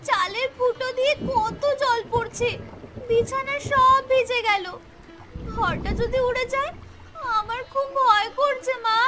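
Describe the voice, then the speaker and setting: Very high-pitched earnest little girl. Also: steady tone, unhurried, over music. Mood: frightened. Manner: earnest, pleading. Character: sweet, worried, self-denying little village girl. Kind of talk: melodramatic cartoon voice acting.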